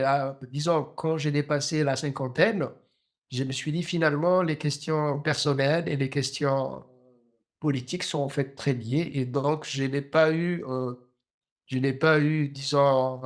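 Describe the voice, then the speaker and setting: Hesitant man in his fifties. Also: medium pitch. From Djibouti. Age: 50s. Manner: hesitant, many fillers and restarts. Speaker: novelist guest. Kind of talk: thoughtful interview answers.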